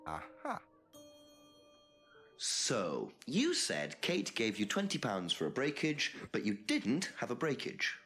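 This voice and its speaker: An actor playing a polite, probing police detective inspector in a filmed mystery game. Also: male voice, mid-range voice, wildly swooping pitch, slow pace, fairly bright tone, soft background music, exaggerated voice. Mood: suspicious, pressing. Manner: courteous, inquisitive.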